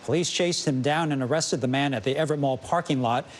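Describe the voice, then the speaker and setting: A man's voice: medium pitch, fast. A man anchoring the news, reading scripted stories.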